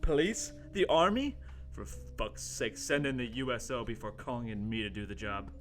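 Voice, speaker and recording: A man's voice: medium pitch, animated, normal speed, lightly acted. Guy doing a nervous young male intern in a homemade radio play, melodramatic, confused, music behind him. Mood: nervous, incredulous. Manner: melodramatic, questioning. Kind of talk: amateur radio play acting.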